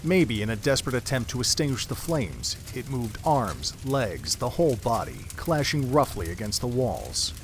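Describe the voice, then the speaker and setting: A man's voice: medium pitch, very animated, normal speed, few pauses, a little hiss or hum. A man giving solemn, literary scripted narration for an audio drama.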